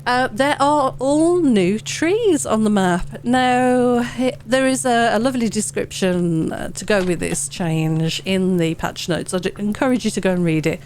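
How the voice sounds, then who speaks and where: A woman hosting a gaming channel, hoarse and wheezy from a head cold, chatting casually through update notes with lots of ums. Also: mid-range voice, unhurried, hardly any pauses, darkish tone, slight background noise. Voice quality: wheezy, sore-throated. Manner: chatty, rambling, with many ums. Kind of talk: casual gameplay commentary.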